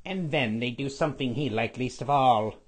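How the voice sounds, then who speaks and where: A man voicing a scheming skeletal villain lord who reads a storybook aloud with a raspy, sniffly voice, stretching out repeated words. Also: mid-range voice, lightly acted. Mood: gloating. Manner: sing-song storybook reading, drawn-out repeated words.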